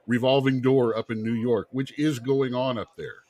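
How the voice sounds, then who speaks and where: A man co-hosting a casual discussion, calmly listing facts. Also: medium pitch, normal speed.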